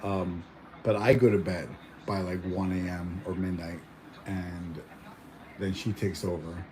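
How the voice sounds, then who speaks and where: A man's voice: low voice, steady tone, measured pace, mellow, slight background noise, low-quality recording. A young man born in Mexico chatting casually at home, rambling with lots of fillers and restarts. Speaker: vlogger. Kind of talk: casual chat.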